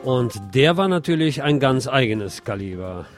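A man's voice: medium pitch, big pitch swings, moderate pace. A man presenting a scripted radio feature as host. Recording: music behind the voice. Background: music.

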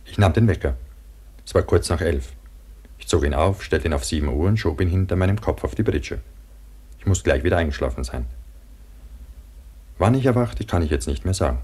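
A haunted survivor narrating a radio drama. His voice is extremely deep and husky. He tells his ordeal gravely and broodingly, in short, halting phrases. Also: expressive, warm tone. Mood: grave, subdued.

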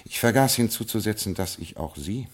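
Ruined, absent-minded lord. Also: male voice, on the low side, expressive, fairly quick, husky. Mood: resigned stately. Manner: stately, absent-minded, dryly fatalistic. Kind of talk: 1950s radio drama acting.

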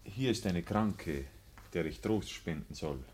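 A man's voice: deep voice, measured pace, a little mellow, slight background noise. A man playing a pious, unbending village priest in a radio play, preaching in a formal, insistent manner. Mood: solemn, formal. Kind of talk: radio play acting, clerical declamation.

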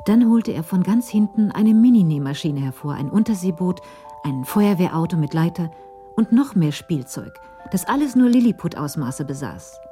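A woman narrates a crime radio play with literary care. Her voice is very low and dark, soft at the edges, and she moves through the story in a measured way. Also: lively intonation, soft background music.

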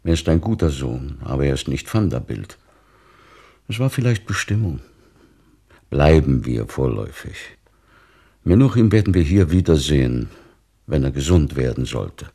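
An actor playing a weary, brooding old immigrant father in a radio play, with a gravelly, husky voice and a questioning manner. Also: rumbling low, big pitch swings, moderate pace, long pauses, mellow, heavily acted. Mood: doubting, resigned. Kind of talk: literary radio play acting.

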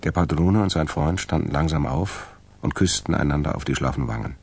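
A man narrating a radio crime drama as a detached, dry storyteller with a gravelly, husky voice. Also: extremely low pitch, a little fast, darkish tone, exaggerated voice. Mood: detached, calm.

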